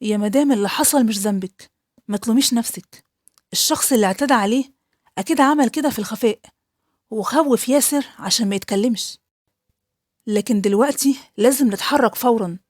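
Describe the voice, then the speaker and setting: A woman with an Egyptian accent voicing a calm male doctor, steady and reassuring. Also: fairly high pitch, quick pace, long pauses, dry recording, studio quality, close to an everyday voice. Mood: grave. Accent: Egyptian Arabic.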